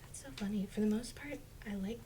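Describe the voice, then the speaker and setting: Loud background noise and clicks surround a woman's soft, breathy voice. She speaks ASMR style, often dropping close to a whisper, in a casual and gentle way, as if musing while she handles toys. Her pitch is average for a woman. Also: brisk. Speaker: ASMR video host. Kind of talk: soft-spoken ASMR toy unboxing.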